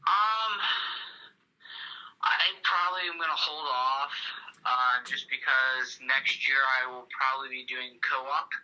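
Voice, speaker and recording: A man's voice: medium pitch, takes it slowly, sharp tone. A young man talking casually over a telephone, polite and a little hesitant. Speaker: prank call target. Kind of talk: casual phone conversation. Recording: voice over a phone call.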